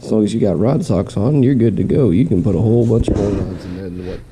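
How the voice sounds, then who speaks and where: A man's voice: lowish voice, quick pace, background noise. A man with a cold and a stuffy nose, talking in a nasal voice in a rambling, casual show-and-tell for a fishing vlog, recorded at home. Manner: rambling, with restarts. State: stuffy nose, slight cough. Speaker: fishing vlogger. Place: home, in quarantine.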